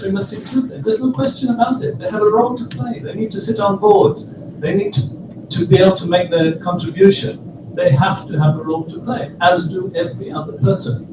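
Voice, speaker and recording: A man's voice: high voice, lo-fi sound. A man from Zambia, a panelist speaking firmly and reflectively about business. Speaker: panelist, entrepreneur. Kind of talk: panel discussion remarks. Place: conference hall. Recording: echoey hall, distant mic, noisy.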